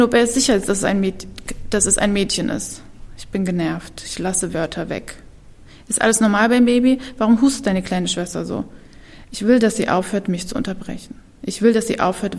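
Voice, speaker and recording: A young woman whose first language is Kurdish performs spoken-word poetry, slow and deliberate, often dropping to a whisper. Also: medium pitch, darkish tone. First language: Kurdish (Kurmanji). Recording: reverberant hall.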